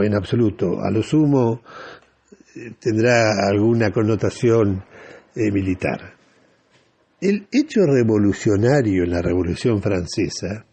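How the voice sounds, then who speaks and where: An Argentine man giving political commentary on the radio in a deliberate, didactic way, often posing rhetorical questions. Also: medium pitch, mellow, husky.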